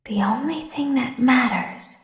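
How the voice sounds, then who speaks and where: A woman voicing a broken animatronic robot girl in a horror game, eerily gentle and secretive, speaking in a hushed, coaxing and deliberate way. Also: high voice, echoey room. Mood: hushed, conspiratorial. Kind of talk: scripted video game horror voice lines.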